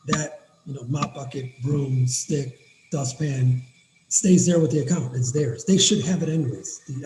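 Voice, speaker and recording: Raspy, fast-talking man, upbeat tutorial host, mellow tone, recorded in an echoey room. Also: a little high, slight background noise. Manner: fast, energetic, addresses viewers as guys. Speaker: cleaning business owner giving a software demo. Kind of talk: casual screen-share tutorial.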